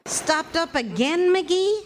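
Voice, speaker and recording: A woman playing a sharp-witted, long-suffering middle-aged wife in 1940s radio comedy style, teasing and scolding with affection. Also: very high voice, expressive, takes it slowly, noisy background, exaggerated voice.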